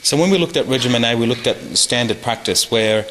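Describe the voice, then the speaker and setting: A man's voice: mid-range voice, animated, fairly quick, fairly bright tone. A man giving an academic medical presentation at a conference, explaining things informally and addressing listeners directly. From Australia. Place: conference hall. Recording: reverberant hall with faint noise.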